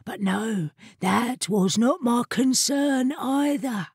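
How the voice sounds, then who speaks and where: Woman voicing a mournful, slow-talking grand old armchair. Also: on the high side, a little mellow, slightly rough, no echo, studio quality, full character voice. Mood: sleepy, aloof. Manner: lugubrious, slow, drawn-out complaint. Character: mournful, self-important talking armchair, sleepy and aggrieved.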